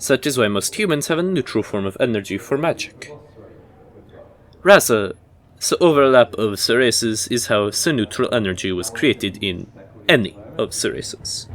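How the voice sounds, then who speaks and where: A man playing a measured, scholarly university professor who lectures formally and deliberately in a fantasy audio roleplay. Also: medium pitch, animated, fairly bright tone, close to an everyday voice. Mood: lecturing, measured. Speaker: professor giving a history lecture.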